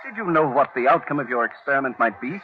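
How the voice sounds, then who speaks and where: Actor as a stern leader with dramatic, swooping delivery. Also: male voice, mid-range voice, expressive, fast talker, lightly acted. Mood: stern, bitter. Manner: dramatic, interrogating. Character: stern, bitter male leader, stunned and desperate. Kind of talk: old-time radio drama acting. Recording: muffled old radio drama recording.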